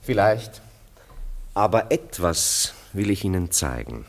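Worldly, ironic man: deep voice, animated delivery, classic radio play wit. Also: takes it slowly, a little hiss or hum, heavily acted. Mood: sardonic. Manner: sardonic, urbane, theatrical. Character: witty, ironic, worldly male bachelor friend.

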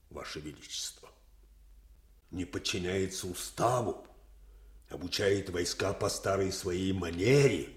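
Актёр играет чопорного, угодливого графа, докладывающего императору, в советской радиопостановке. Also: male voice, low-pitched, darkish tone. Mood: servile, grave. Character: stiff, servile count informing on others to his emperor. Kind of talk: 1980s Soviet radio drama acting. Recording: roomy radio drama studio echo.

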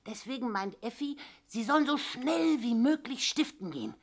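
A woman's voice: fairly high pitch, animated, moderate pace. Fawning greedy landlady. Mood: wheedling. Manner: fawning, protests too much, coy hesitations. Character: greedy wheedling older landlady, falsely modest. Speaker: boarding house landlady. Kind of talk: 1960s radio drama acting.

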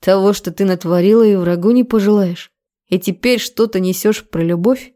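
An angry, contemptuous young man in an audiobook melodrama, voiced by a female reader. The timbre is dark and mid-pitched, and the delivery is clipped, deliberate and threatening. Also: close to an everyday voice. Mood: bitter contempt. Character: furious, menacing young man interrogating with cold contempt.

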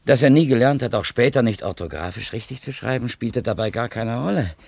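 An actor playing a courteous, talkative, enthusiastic publishing editor in a German radio drama from the 1970s. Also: male voice, medium pitch, fairly quick, gravelly, darkish tone, no room sound. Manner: effusive, courteous, articulate.

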